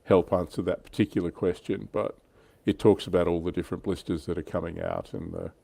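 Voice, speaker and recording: A man's voice: deep voice, flat delivery, fairly quick, gravelly, mellow, dry recording. A middle-aged man, hosting a casual livestream chat about tabletop wargaming. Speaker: livestream host.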